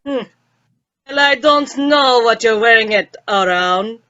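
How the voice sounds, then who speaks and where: A woman's voice: a little high, measured pace, pushed and bright. A woman acting a grumpy, sarcastic old male professor in an old-time radio comedy. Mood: mocking. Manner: sardonic, teasing insults. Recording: muffled band-limited audio.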